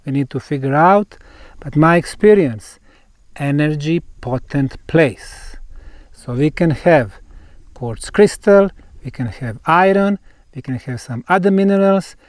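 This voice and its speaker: A man with a Bosnian accent explains a site on location as a researcher, in deliberate, emphatic short phrases with pauses. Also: fairly high pitch, darkish tone, dry recording. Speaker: researcher presenting a field investigation.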